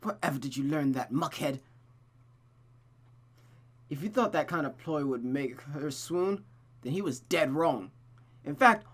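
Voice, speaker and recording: A streamer with a mid-pitched voice reads a visual novel aloud, narrating expressively and adding casual asides. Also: fairly bright tone.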